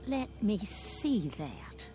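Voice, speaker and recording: Very slow, frail old lady. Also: mid-range voice, sing-song. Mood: doubtful, wondering. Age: older. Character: frail, wistful old woman. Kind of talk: radio drama acting. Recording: music bed under the voice.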